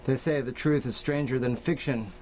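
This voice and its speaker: A man's voice: medium pitch, steady tone, normal speed, a little mellow, slight background noise. A middle-aged man delivering a musing scripted monologue as an amateur performer.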